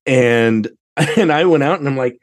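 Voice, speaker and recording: American male guest, medium pitch, casual and animated anecdotal talker. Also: unhurried. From United States. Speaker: podcast guest, theatre director.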